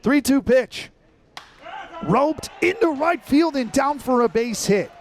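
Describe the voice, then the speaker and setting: High-voiced American baseball play-by-play man. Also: animated, slow. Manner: clipped descriptive phrasing. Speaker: baseball radio play-by-play announcer. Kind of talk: live sports commentary.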